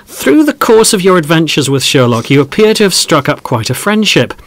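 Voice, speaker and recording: A man's voice: fairly high pitch, sing-song, fairly quick, a bit bright, no echo. An actor playing a chatty, easily amazed male football podcast host in a scripted audio drama. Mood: welcoming.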